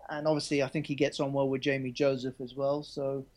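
A man's voice: medium pitch, little pitch movement, a little fast, a little mellow. A man being interviewed as a rugby journalist, talking candidly and often restarting his sentences. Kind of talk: conversational interview answers.

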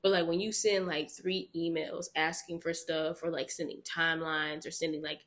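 A woman co-hosting a casual podcast, telling stories in animated, quick bursts with frequent laughter. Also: low-pitched. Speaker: podcast co-host. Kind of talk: casual chat.

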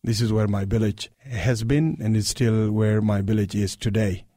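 A man's voice: fairly low pitch, fairly even intonation, moderate pace, warm tone, no room sound, studio quality. A man from South Sudan, a radio interview guest, recalling his childhood unhurriedly and repeating words for emphasis. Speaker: guest, human rights activist. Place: radio studio.

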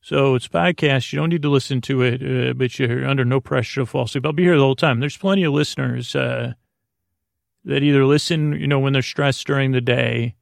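Creaky-voiced man rambling a bedtime monologue. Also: medium pitch, fairly even intonation, no room sound, clean studio sound. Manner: meandering, halting, trails off into tangents. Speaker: podcast host.

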